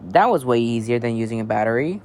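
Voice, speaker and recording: A man improvising an amateur emergency-alert parody story in a rambling, halting, excitable way. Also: medium pitch. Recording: noisy amateur home recording.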